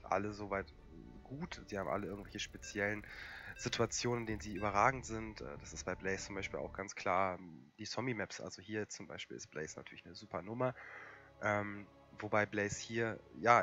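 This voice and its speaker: Hoarse man with a cold, gaming. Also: lowish voice. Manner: relaxed and rambling, many fillers. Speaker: gaming channel host. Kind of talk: casual gameplay commentary.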